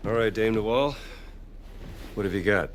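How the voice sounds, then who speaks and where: An actor playing a gruff, dry-witted American adventurer archaeologist in a video game, with a laconic manner. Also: male voice, lowish voice, normal speed, slight background noise, lightly acted. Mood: dry, thoughtful. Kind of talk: video game cutscene acting.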